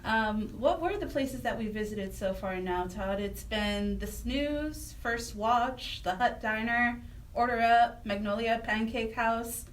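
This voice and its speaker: A woman's voice: on the high side, takes it slowly, reverberant. A woman co-hosting a talk radio show, chatting casually and interviewing. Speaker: radio co-host. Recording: distant mic, noticeable background noise.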